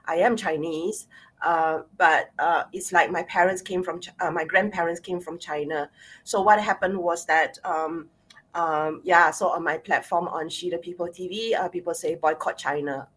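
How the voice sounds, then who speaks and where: A woman with a Singaporean accent hosts a conversational discussion. Her voice is a little lower than most women's, and she phrases things haltingly, feeling her way through each point. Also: steady tone, fairly bright tone, husky. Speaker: host.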